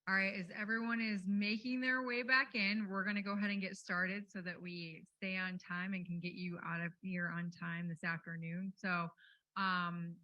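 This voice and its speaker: A woman hosting a workshop, making friendly, brisk announcements over a microphone. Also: mid-range voice, level tone, pushed and bright, dry recording, lo-fi sound. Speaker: event host. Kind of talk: event announcements. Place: conference room.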